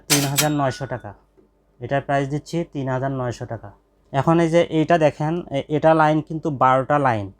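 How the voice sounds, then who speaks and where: A man with a Bangladeshi accent gives an informal product demonstration to viewers, pausing hesitantly and repeating key phrases. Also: mid-range voice. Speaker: shop presenter selling office equipment.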